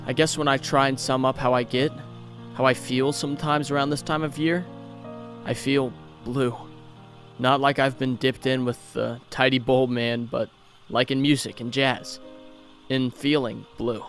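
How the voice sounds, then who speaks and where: An actor playing a tender, grieving young male superhero in a comic dub, speaking in an earnest, halting, reflective way. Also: mid-range voice, fairly even intonation, fairly bright tone. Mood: wistful, grieving. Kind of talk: comic dub, dramatic monologue. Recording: music under the voice. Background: music.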